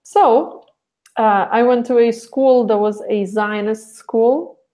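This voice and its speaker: A woman's voice: fairly high pitch, slow pace, warm tone. A young woman from Russia, a podcast guest talking casually and rambling with many restarts.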